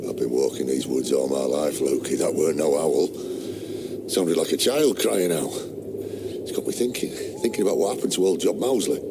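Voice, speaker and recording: A man's voice: rumbling low, moderate pace, heavy background noise. A jittery rustic woodsman. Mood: uneasy. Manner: uneasy, rustic dialect speech. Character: superstitious male rural woodsman, jittery. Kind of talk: radio drama acting.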